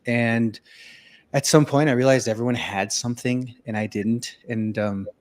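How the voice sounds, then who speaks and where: A man's voice: mid-range voice, fairly even intonation, normal speed. A man born in Venezuela, a filmmaker and musician, telling his life story in a casual interview with many fillers and restarts.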